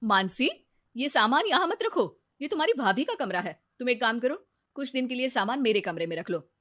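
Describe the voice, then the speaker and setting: Woman playing a gently admonishing mother, highish voice. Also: big pitch swings, a little fast. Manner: advising, motherly. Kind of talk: animated Hindi moral story dialogue.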